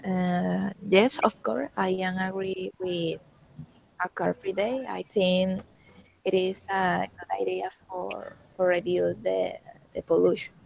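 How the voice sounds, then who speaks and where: A woman of twenty-one, speaking slow, halting English. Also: lowish voice, fairly even intonation, creaky, darkish tone, a little hiss or hum. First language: Spanish. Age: 20s. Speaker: student participant. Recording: dull lo-fi voice call.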